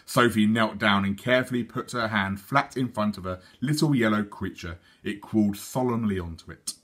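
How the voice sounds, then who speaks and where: A man reading a children's story aloud like a teacher, in a measured, expressive way. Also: fairly low pitch. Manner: measured, animated.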